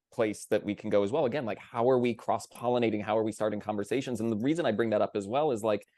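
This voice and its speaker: A man's voice: medium pitch, warm tone, no echo. A man talks in a casual panel discussion with a mid-range voice and a dry, close sound. He is enthusiastic and tends to wander into side thoughts. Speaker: theatre panelist.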